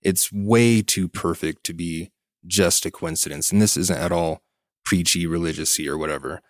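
A man's voice: low-pitched, normal speed, no room sound, studio quality. A man co-hosting a podcast in casual discussion, explaining his thoughts in an articulate way. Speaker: podcast co-host.

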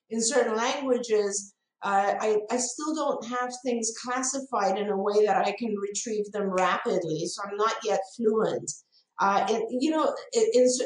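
Woman, non-native English speaker, earnest, thoughtful, roomy echoey sound. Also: mid-range voice. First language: not English. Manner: earnest, thoughtful, frequent restarts. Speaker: ABA program director. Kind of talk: live-stream Q&A answer. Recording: roomy echo.

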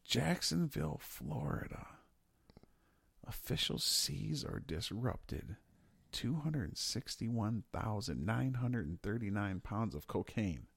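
A man co-hosting a podcast in casual chat, excitable and swearing as he riffs on questions. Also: lowish voice, slow pace, gravelly, slightly rough, no room sound. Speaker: podcast co-host.

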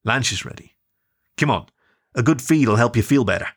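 A man voicing a sturdy, caring female kangaroo friend, brisk and friendly. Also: mid-range voice, lively intonation, close to an everyday voice.

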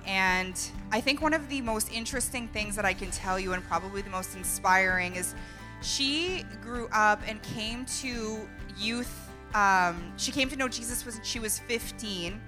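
A woman's voice: medium pitch, pushed and bright. A woman in her thirties introducing the speaker, heartfelt and emotional, with many hesitations. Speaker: conference host introducing the speaker.

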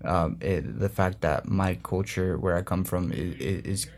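A man's voice: deep voice, unhurried, gravelly, a little mellow. A young man from Colombia whose first language is Spanish, answering interview questions earnestly with many restarts. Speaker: guest, former student drummer.

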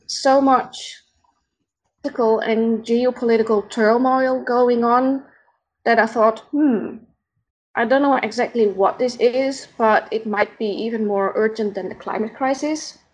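A Dutch woman with a Dutch accent, a podcast guest who speaks in a halting, thoughtful way while searching for words. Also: on the high side, fairly even intonation, rough recording. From Netherlands. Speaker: podcast guest, founder of an organization. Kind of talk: casual interview conversation.

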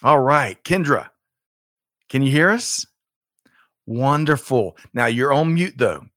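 A man's voice: mid-range voice, lively intonation, takes it slowly, a bit bright, dry recording. Man, host, upbeat chatty, mid voice, dry close sound. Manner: upbeat, chatty, self-correcting. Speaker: show host. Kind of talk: podcast hosting.

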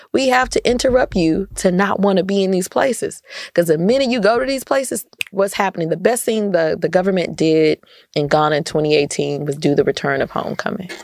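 An American woman speaks casually and emphatically as an interview guest. Her voice sits fairly low with a clear fry in it. She repeats phrases for stress, and the sound is dry and close. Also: no room sound. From United States. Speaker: podcast guest, entrepreneur and community activist.

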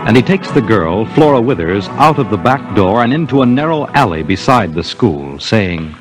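A man's voice: on the low side, very animated, normal speed, poor audio. A man with an American accent narrating a 1950s radio detective mystery in a scripted, dramatic announcer's style. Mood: grave, dramatic. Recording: 1950s radio broadcast with music.

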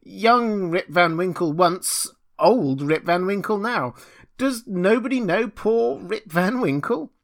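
Guy doing a confused old man from a storybook, high plaintive voice, stammering and all worked up. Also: wildly swooping pitch, full character voice. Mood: overwrought, emotional. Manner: halting, agitated, stammering. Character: bewildered old grey-bearded man, plaintive and distraught.

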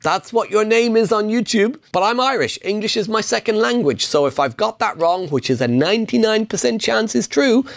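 A man's voice: high-pitched, animated, normal speed, creaky, hardly any pauses. A middle-aged man hosting a casual Q&A, answering viewer questions on property investing in an upbeat, emphatic way. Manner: upbeat, emphatic, punchy repetition.

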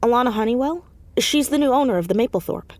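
Woman acting as teenage girl, earnest, stubborn, halting delivery, naturalistic audio drama, very dry sound. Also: on the high side, lively intonation, darkish tone, no echo, close to an everyday voice. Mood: thoughtful. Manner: hesitant, halting, insistent.

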